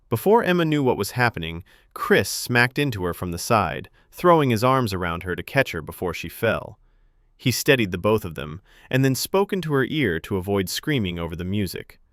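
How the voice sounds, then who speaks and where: A man's voice: on the low side, no room sound, studio quality. A man reading scripted fiction narration as a storyteller.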